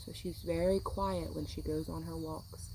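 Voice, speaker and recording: A woman's voice: deep voice, fairly even intonation, moderate pace, background noise. A woman reading a picture book aloud to children, speaking simply like a teacher to young listeners. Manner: acts out sound words.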